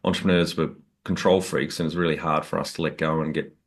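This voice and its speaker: A man's voice: extremely low pitch, steady tone, fast, vocal fry. A man with an Australian accent hosting a business podcast, upbeat and direct as he asks questions. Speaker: podcast host. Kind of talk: interview host, scripted intro.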